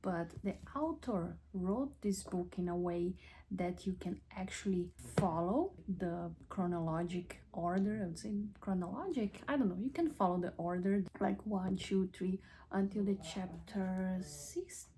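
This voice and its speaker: A woman's voice: fairly low pitch, measured pace, a little hiss or hum, poor audio. Chatty woman vlogger, Portuguese native speaker. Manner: chatty, relaxed, restarts words. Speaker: lifestyle vlogger. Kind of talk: casual vlog narration. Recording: soft background music.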